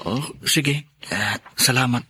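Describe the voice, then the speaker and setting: A young man with a Filipino accent playing a tired, dutiful eldest son in a radio drama, gently reassuring. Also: medium pitch, takes it slowly, husky, full character voice. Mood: tired, grateful. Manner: reassuring, deferential.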